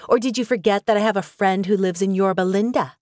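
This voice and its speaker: A woman voicing Victoria, a bitter ex-wife who speaks in a sharp, accusing way. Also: mid-range voice, fast, no echo, clean studio sound, pitched above the performer's own voice, lightly acted. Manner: accusing, cutting.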